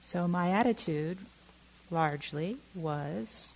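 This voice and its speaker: A middle-aged woman from Oakland giving a reflective talk, halting and candid, with many fillers and self-corrections. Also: fairly low pitch, gravelly, darkish tone, rough recording. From Oakland, California. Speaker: dharma talk speaker.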